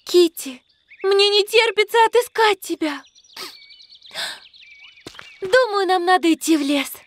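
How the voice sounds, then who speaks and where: A woman voicing an eager, excitable and earnest young girl adventurer in a Russian cartoon dub. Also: extremely high pitch, a little slow.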